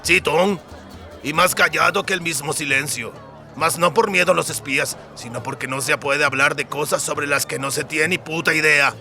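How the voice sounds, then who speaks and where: A sardonic, foul-mouthed dwarf soldier acted out by a man for a fantasy audiobook. The voice is very forceful and gritty, on the high side for a male, with a blunt, gruff delivery. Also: normal speed, soft background music. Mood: stern. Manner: blunt, sarcastic, swearing. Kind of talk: dramatised fantasy audiobook dialogue.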